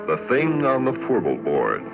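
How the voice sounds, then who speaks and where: A man formally announcing a drama in measured 1940s radio style. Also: lowish voice, huge pitch swings, vocal fry. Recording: muffled vintage radio recording. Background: loud music.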